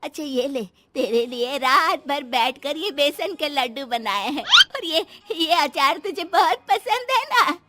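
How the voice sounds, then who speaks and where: एक महिला हिंदी कहानी में बूढ़ी, विधवा, ममता भरी और भावुक माँ का किरदार निभा रही है, रुआँसे और मनुहार भरे लहजे में।. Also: female voice, high-pitched, expressive, unhurried, few pauses, bright, forceful tone, a little hiss or hum, heavily acted. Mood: excited, doting. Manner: tearful, pleading, tender. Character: elderly widowed mother, doting, emotional, anxious to please. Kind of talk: Hindi moral story dramatisation.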